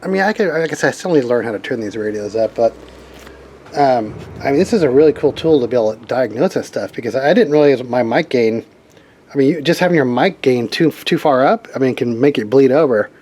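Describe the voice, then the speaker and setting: A man's voice: medium pitch, expressive, fairly quick, darkish tone. A man giving a casual hands-on tech demo as a hobbyist, talking informally and rambling as he thinks aloud. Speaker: hobbyist radio operator demonstrating equipment.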